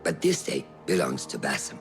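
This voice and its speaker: An actor playing a stern, commanding older mentor in a video game cutscene, gravelly and measured in his instruction. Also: wildly swooping pitch, heavily acted. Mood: stern, guiding. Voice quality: deep, gravelly. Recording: music under the voice. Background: music.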